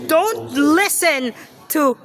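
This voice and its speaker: A woman giving casual reaction commentary, speaking haltingly and often repeating and stumbling over her phrases. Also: sits high, animated. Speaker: reaction video host.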